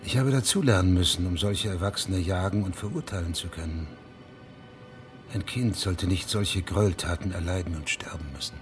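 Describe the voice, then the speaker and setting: An actor playing a dogged, formal middle-aged judge in a German radio drama, measured and unyielding. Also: male voice, low voice, soft background music, natural voice. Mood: firm, measured.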